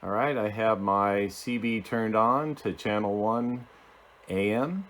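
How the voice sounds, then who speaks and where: A man giving a casual hands-on demonstration as host of a hobby video, talking in a halting, plainspoken, methodical way. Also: lowish voice, fairly bright tone.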